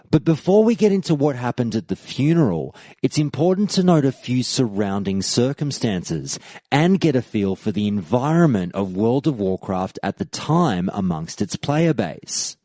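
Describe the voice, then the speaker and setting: Lively-voiced man narrating a history podcast. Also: mid-range voice, dry recording. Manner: earnest storyteller, reads quoted posts aloud. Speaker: podcast host.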